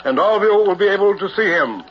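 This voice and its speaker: A man's voice: high-pitched, lively intonation, fast, slight background noise. A man playing a showy carnival professor in 1960s radio horror, with formal, theatrical phrasing. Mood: grandiose pitchman. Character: showy carnival professor, huckster of a buried-alive act. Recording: vintage dull radio recording, music behind.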